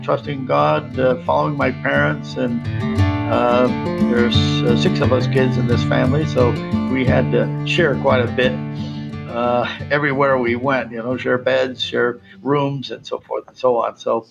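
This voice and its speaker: Gravelly man with halting, filler-heavy talk. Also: medium pitch, highs cut off. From Colombia. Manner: halting, many uh fillers and restarts. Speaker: missionary guest. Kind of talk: casual interview answers.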